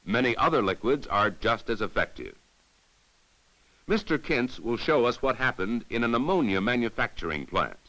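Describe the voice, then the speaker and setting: A man giving formal, measured, didactic narration in the scripted style of a 1950s educational safety film. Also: mid-range voice, very animated, bright, forceful tone, rough recording.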